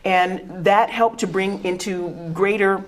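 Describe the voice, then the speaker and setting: A woman's voice: medium pitch, steady tone, slow, low-quality recording. A middle-aged woman educator speaking on a discussion panel in an earnest, conversational way, often restarting words and laughing.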